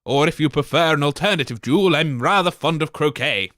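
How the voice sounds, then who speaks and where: A man's voice: a little high, a little fast, a bit bright, no room sound, clean studio sound, natural voice. A man voicing a pompous, chivalrous knight in rhyming verse. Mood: indignant. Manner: pompous heroic declaiming.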